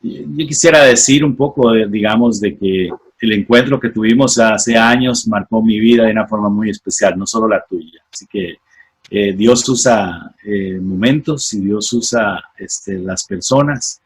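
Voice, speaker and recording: A man in his forties speaking in a Costa Rican accent. His voice sits fairly low and has a husky edge. He talks slowly and thoughtfully, trailing off and restarting as he recalls the past. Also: low-quality recording. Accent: Costa Rican Spanish. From Costa Rica. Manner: unhurried, reflective, many fillers and restarts. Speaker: guest, former missionary. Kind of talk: casual radio interview, testimony.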